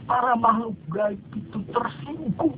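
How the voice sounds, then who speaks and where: A man's voice: very high-pitched, measured pace. A man narrating a satirical fable in an ironic, mock-solemn storytelling manner, with dry asides. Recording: tinny lo-fi phone-like sound with faint noise.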